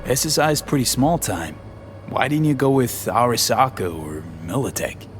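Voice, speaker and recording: An actor playing a laconic, street-smart mercenary investigator in a cyberpunk video game, asking clipped questions. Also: male voice, mid-range voice, expressive, normal speed, natural voice. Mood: guarded, businesslike. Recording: music behind.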